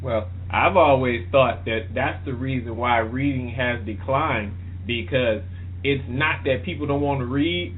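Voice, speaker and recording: A man's voice: medium pitch, unhurried. A man hosting a casual radio interview, talking informally and emphatically over a phone line. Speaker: radio show host interviewing a guest. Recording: tinny phone line with hiss.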